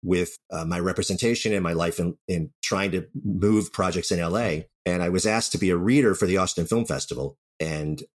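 A man with a deep voice talks through his experiences as an interview guest. He is candid and self-deprecating, speaking conversationally. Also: a little fast, few pauses. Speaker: writer and audio drama director, interview guest.

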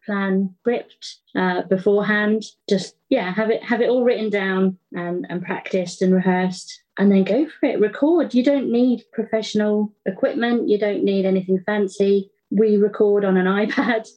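A woman chats casually as a podcast guest. Her voice is middle-pitched, heard through a slightly dull, lo-fi recording. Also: steady tone, normal speed, few pauses, dark tone. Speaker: podcast guest, indie audio drama creator. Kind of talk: casual interview chat.

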